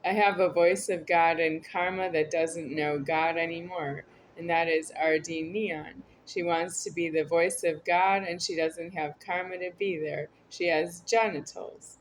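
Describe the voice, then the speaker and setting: A woman's voice: on the low side, steady tone, measured pace, hardly any pauses, slight background noise, low-quality recording. A woman talking to camera in a meandering, repetitive, earnest monologue. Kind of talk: rambling spoken monologue to camera.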